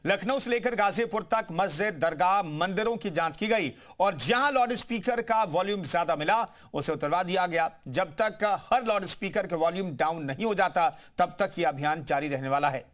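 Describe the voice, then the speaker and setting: High-voiced male news anchor. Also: normal speed, hardly any pauses, fairly bright tone. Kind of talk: scripted news presentation.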